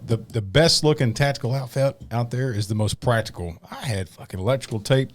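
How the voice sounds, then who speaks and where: A man's voice: medium pitch, brisk. A man hosting a podcast, talking in a loose, rambling way and swearing freely. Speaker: podcast host. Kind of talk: casual podcast conversation.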